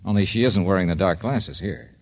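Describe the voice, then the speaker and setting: A man's voice: low voice, a little fast. A man playing a cool, wry private detective in a 1940s radio drama, with a dry, clipped, casually wisecracking manner. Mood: musing, wry.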